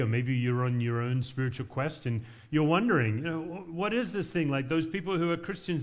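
A man from Western Australia preaching as a guest pastor, conversational with light humor and frequent fillers. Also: mid-range voice. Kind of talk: sermon.

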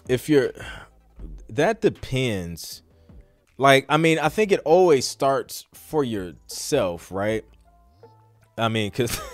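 A man hosting a live question-and-answer podcast as a music producer, talking in an informal, slangy, rambling conversational way. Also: on the low side. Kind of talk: casual live Q&A chat.

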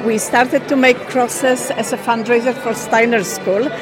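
A woman from Poland explains her traditional crafts with slow, careful storytelling. Her voice sits somewhat high. Music plays clearly behind her in a busy exhibition space. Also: fairly even intonation. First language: Polish. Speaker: craft business owner. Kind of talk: radio interview at an exhibition.

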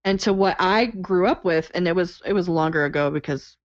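A woman's voice: lowish voice, brisk, dry recording, dull audio. A woman in her forties co-hosting a podcast, chatty and enthusiastic, laughing easily. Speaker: podcast co-host. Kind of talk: casual podcast chat.

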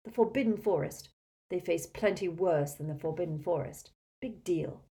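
A woman's voice: lowish voice, normal speed, warm tone, close to an everyday voice. A woman voicing a direct, urgent teenage boy wizard in an audiobook. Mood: blunt, urgent. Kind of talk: audiobook character acting.

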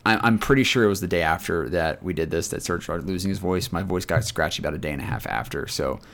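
Low, scratchy-voiced man telling stories. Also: quick pace, a bit bright. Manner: rambling, chatty storytelling. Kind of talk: casual chat.

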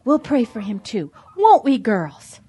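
A woman's voice: a little high, big pitch swings, measured pace, mellow, husky, heavily acted. A woman voicing a sensible, pious female farm animal who soothes and moralizes earnestly in an audio drama. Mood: pleading, pious.

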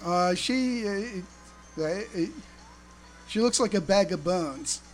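A woman co-hosting a casual fan podcast, speaking haltingly and repeating words as they go. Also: mid-range voice, animated, faint music behind. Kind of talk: casual chat.

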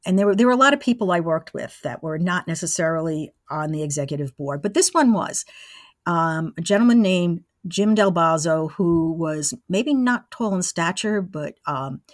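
A woman in her sixties telling casual anecdotes as an interview guest, with an animated storytelling manner. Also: on the low side, normal speed, no room sound, studio quality. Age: 60s. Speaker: interview guest, former college radio engineer.

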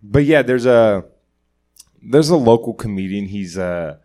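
A man with a somewhat low voice, hoarse and scratchy as if losing it. He chats casually as a podcast co-host, swearing freely and rambling with frequent laughs. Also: animated, measured pace, warm tone. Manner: profane, rambling, laughs often. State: scratchy throat, losing his voice. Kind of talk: casual chat.

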